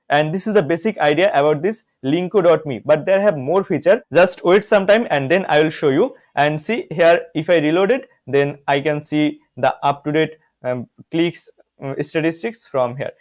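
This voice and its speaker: A young man from Bangladesh with a slightly raised pitch, guiding viewers through a software tool on screen. He talks from a small room into a close desk microphone, calling out each click and often addressing viewers as "guys". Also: moderate pace. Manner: walks through steps, frequent "guys" and "see". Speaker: software reviewer. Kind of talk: screen-recorded software tutorial.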